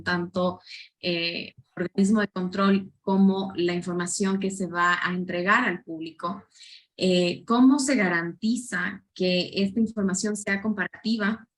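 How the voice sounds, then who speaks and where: Woman moderator reading audience questions. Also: fairly low pitch. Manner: reads questions aloud with many fillers.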